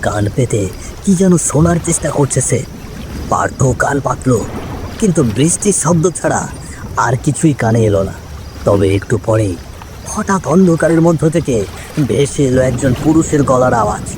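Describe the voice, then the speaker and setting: A man tells a ghost story with suspense and drama. His voice moves widely in pitch at a medium register, and clear sounds of rain and river water run behind him. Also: lively intonation, normal speed, background noise. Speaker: storyteller, narrator.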